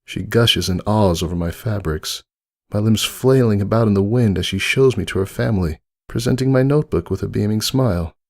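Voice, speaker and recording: Casting: wistful old rag doll narrator played by a man, deep and creaky, earnest dramatic storybook reading over music. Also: brisk. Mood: hopeful, tender. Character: wistful, devoted old stitched toy doll, longing for friendship. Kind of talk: dramatic fan fiction narration. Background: music under the voice.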